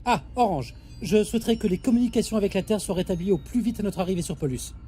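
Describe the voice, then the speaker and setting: A man playing a brisk, bossy, impatient spaceship captain who gives clipped orders in an audio drama. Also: high voice, lively intonation, warm tone, breathy edge, soft background music. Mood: businesslike.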